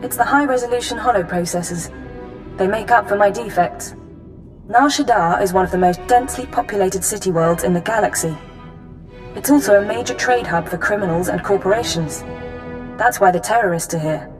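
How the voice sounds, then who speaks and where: An actress playing a cool, efficient imperial intelligence officer in a video game, giving crisp, formal briefings. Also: female voice, mid-range voice, fairly quick, soft background music, lightly acted. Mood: brisk, businesslike.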